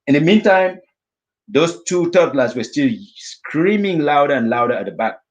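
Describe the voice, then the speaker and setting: A middle-aged man from Africa telling a vivid personal story as a guest speaker, acting out his own inner thoughts in lively asides. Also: fairly high pitch, moderate pace, dull audio. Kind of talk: storytelling talk.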